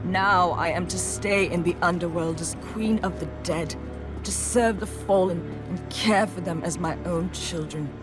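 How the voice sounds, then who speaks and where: An actress playing a regal, coldly composed queen goddess of the underworld in a video game, speaking in an imperious, measured, ominous manner. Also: lowish voice, over music, lightly acted. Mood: bitter.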